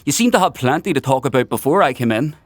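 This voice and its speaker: An actor playing a menacing, paranoid military paragon in a dark fantasy audio drama, mock-friendly and needling in his drawn-out questions. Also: mid-range voice, lively intonation, no room sound, lightly acted. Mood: needling suspicion.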